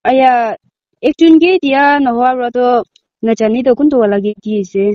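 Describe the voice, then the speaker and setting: A woman's voice: fairly high pitch, a little fast, no echo, lo-fi sound. A woman in a casual phone argument, protesting defensively and sounding exasperated. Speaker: prank call recipient.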